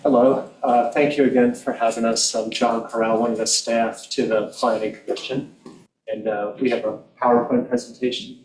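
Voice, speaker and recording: Monotone man presenting in an echoey hall. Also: medium pitch, normal speed. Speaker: planning commission staff. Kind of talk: slide presentation. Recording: echoey room from far off with faint noise.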